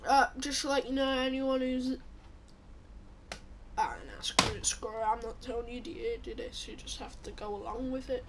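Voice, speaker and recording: High-pitched croaky woman gaming over noise. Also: steady tone, moderate pace. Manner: chatty, blurts out reactions, mutters asides. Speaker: gameplay commentator. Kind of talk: casual let's-play commentary. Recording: loud background noise under the voice.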